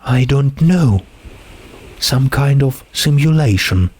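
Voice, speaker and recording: A man's voice: mid-range voice, expressive, slow pace, darkish tone, slightly rough, voice pushed up. A man voicing an earnest, troubled teenage girl with a hesitant, searching manner in a story reading. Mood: pleading, uneasy. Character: troubled, earnest teenage girl doubting her world is real. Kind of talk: fan fiction character reading.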